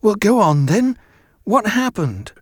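A man's voice: sits high, very animated, slow pace, slightly rough, no echo, studio quality, pitched above the performer's own voice, full character voice. A man voicing a sceptical, curious schoolboy with a blunt, incredulous manner in a storybook. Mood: impatient, curious.

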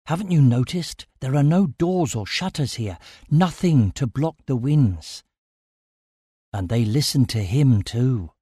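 A man doing a hushed, frightened castle informant. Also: mid-range voice, expressive, no room sound, studio quality. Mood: urgent warning. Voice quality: hushed, breathy. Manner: halting, fearful warnings. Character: frightened, secretive male castle dweller warning a stranger.

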